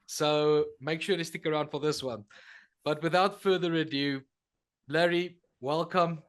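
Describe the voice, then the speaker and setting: A man's voice: on the high side, fairly even intonation, unhurried, smooth. A man hosting a podcast, welcoming his guest and asking questions in an upbeat manner. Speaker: podcast host.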